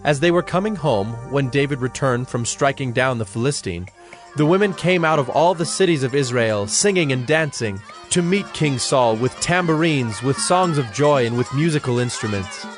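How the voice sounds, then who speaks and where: A man narrating a dramatized scripture story from a script, in a measured, solemn storytelling manner. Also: medium pitch, expressive. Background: background music.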